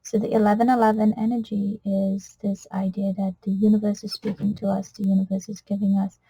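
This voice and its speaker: A woman with a Trinidadian accent, giving a gentle spiritual card reading in an earnest, soft-spoken way that often drops to a whisper. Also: medium pitch, level tone, fairly quick, slightly rough, rough recording. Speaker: oracle card reader.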